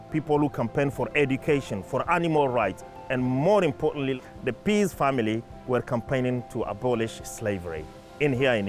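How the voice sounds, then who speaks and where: A man whose first language is French, talking in an earnest, measured and reflective way as a community campaigner in a documentary interview. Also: mid-range voice.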